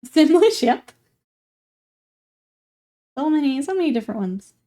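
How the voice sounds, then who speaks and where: A young woman with a somewhat high voice talks easily through a live stream. She thinks aloud while painting miniatures and reads out viewer comments in a relaxed, chatty way. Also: measured pace.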